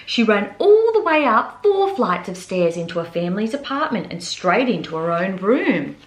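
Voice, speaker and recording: A woman's voice: mid-range voice, sing-song, moderate pace. A woman hosting a children's read-aloud, narrating a picture book expressively. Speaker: host reading children's books aloud. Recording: echoey, roomy sound.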